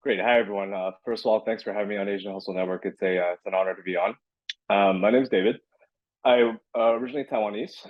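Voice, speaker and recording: A man's voice: fairly low pitch, quick pace, no room sound, muffled recording. A man in his thirties, a podcast guest, answering casually with many restarts and fillers. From born in California, raised in Beijing. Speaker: podcast guest, crypto entrepreneur.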